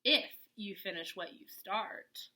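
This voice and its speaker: A woman's voice: mid-range voice, takes it slowly, sharp tone. A woman voicing a thoughtful, cautious young male pig from a storybook in an earnest, questioning way. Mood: doubtful.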